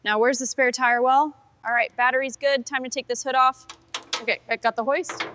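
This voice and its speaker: A woman's voice: sits high, fairly even intonation, normal speed, a bit bright, a little hiss or hum. A woman speaking as a car restoration mechanic, narrating tasks in short phrases as she works. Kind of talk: short task narration while working.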